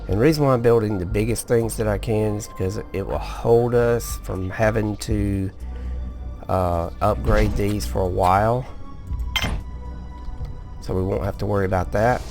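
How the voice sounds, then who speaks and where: A man hosting a casual gameplay video, sleepy and relaxed, explaining each step as he goes with small restarts. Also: on the low side, normal speed, soft background music. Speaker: gaming video host. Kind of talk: casual let's-play commentary.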